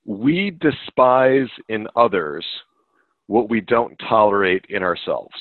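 A man's voice: on the low side, animated, dry recording. Earnest man on a tinny remote line. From United States. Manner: earnest, thoughtful, measured. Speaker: podcast co-host, psychologist. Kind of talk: casual conversational podcast. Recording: phone-like remote call line.

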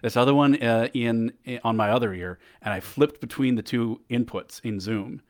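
A man with a bassy voice chatting casually on a podcast, rambling with restarts, recorded on a headset mic. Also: moderate pace. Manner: rambling, frequent restarts and fillers. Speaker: podcast co-host and event tech guest. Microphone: over-the-ear headset mic.

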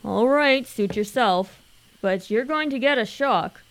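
A woman playing an excitable, bumbling young upper-class gentleman in a radio drama, full of flustered old-fashioned slang. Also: fairly high pitch, a little slow, poor audio. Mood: agitated.

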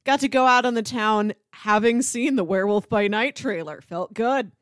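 A woman's voice: a little high, moderate pace, fairly bright tone, dry recording. Female podcast co-host, casual chatter, playful mock-earnest delivery, close dry sound. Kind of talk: casual movie podcast chat.

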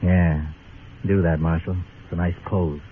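An actor playing a bitter, sardonic disgraced doctor turned crooked gambler in a radio Western, talking in clipped phrases. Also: male voice, low-pitched, level tone, unhurried, narrow, tinny audio. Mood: bitter, guarded. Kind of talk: 1950s radio drama acting. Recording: thin noisy old radio recording.

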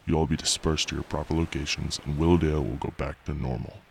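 A man's voice: rumbling low, steady tone, fast talker, creaky, darkish tone. A man playing a cold, imperious evil scientist villain in an audio drama, speaking with menace. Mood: angry, commanding. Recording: recording with faint background noise.

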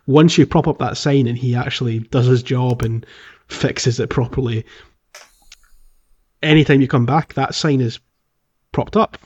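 A man's voice: medium pitch, fairly even intonation, measured pace, a little mellow, husky, highs cut off. Casual, jokey man chatting about games. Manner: jokey, acts out characters' lines. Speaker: podcast host. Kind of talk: casual video game discussion.

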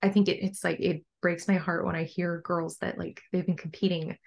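A young adult woman with a low voice, talking casually and earnestly as a coach being interviewed on a podcast. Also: level tone, normal speed, creaky, darkish tone. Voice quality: low-pitched voice. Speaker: bodybuilding coach and podcast guest.

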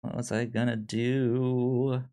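A man co-hosting a podcast in casual review chat, speaking haltingly and often stammering over syllables and words. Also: mid-range voice, little pitch movement, dark tone. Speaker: podcast co-host.

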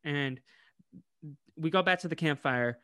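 A man co-hosting a podcast, recapping a cartoon episode in casual chat, animated and laughing often. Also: a little high, measured pace, clear, resonant tone, dry recording. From United States. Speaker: podcast co-host.

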